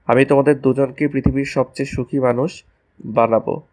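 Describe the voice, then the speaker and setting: A man acts out a young husband and new father in a romantic audio drama. He sounds anxious and devoted, speaking in a mid-pitched voice with earnest, tender emotion. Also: fairly even intonation, normal speed, darkish tone, natural voice. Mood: tender, emotional. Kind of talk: romantic audio story acting.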